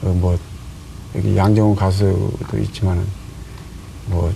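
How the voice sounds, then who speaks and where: Gravelly, dark-voiced man. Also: low-pitched, little pitch movement, slight background noise, low-quality recording. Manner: halting, many pauses and fillers. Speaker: guest, traditional folk singer. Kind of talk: studio talk show discussion. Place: TV studio.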